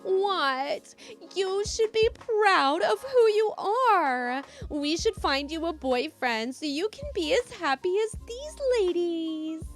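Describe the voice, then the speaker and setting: Overbearing mom for a story reading, voiced by a woman: gushing, very animated, high-pitched. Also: slow, few pauses, faint music behind, exaggerated voice. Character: gushing, tactless mother eager to seem accepting.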